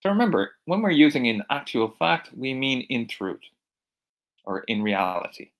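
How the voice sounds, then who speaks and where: A man from Ireland with an American-sounding accent teaching English vocabulary, repeating each word slowly and clearly for learners. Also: mid-range voice, very animated. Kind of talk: recorded vocabulary lesson.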